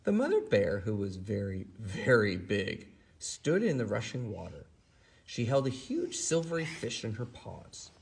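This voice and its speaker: Husky, gravelly man telling a bedtime story. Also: lowish voice. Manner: expressive, drawn-out emphasis on words. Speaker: storyteller reading to a child.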